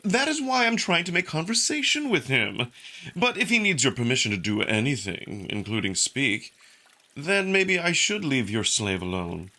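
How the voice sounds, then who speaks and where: A man's voice: mid-range voice, sing-song, moderate pace, pushed and bright, voice pushed up, full character voice. A man voicing a suave, smugly curious male jackal alien in a visual novel, with polite, needling, unruffled delivery. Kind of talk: visual novel character acting.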